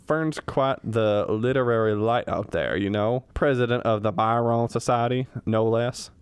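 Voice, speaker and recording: A man's voice: medium pitch, a little slow, clear, resonant tone, dry recording, natural voice. Man playing a bashful, hesitant bachelor professor. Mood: smitten, bashful. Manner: hesitant, folksy, wry. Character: bashful, absent-minded bachelor professor with a new sweetheart. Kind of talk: old-time radio comedy acting.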